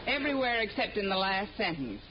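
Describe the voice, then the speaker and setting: An actress playing a wry, quick-witted schoolteacher with dry, sardonic delivery in an old-time radio comedy. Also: female voice, mid-range voice, sing-song, crowd noise, close to an everyday voice. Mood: teasing. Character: wry, wisecracking adult female schoolteacher. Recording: muffled old radio broadcast, faint noise.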